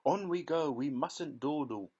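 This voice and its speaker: Man doing an excitable eccentric chocolatier. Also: on the high side, measured pace, husky, dull audio, voice pushed up. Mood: brisk excited. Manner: loud, bright, triumphant exclamations. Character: excitable eccentric male chocolatier, brisk and showy.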